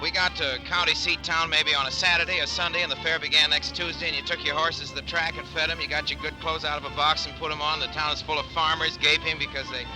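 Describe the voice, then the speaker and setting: An actor playing a naive young American horse-racing hand, reminiscing in folksy 1940s radio drama style. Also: male voice, on the high side, level tone, quick pace, hardly any pauses, lightly acted, low-quality recording. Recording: old radio broadcast with music.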